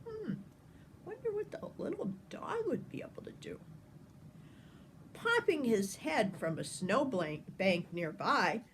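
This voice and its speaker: An older woman reading a children's picture book aloud with expressive, deliberate phrasing, like a grandmother talking to young listeners. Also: on the high side, slight background noise.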